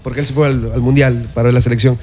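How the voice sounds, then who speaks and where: Fast-talking rambling football pundit. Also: male voice, mid-range voice, little pitch movement, crowd noise. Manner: rambling, many fillers. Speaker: sports panelist. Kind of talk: casual sports talk panel.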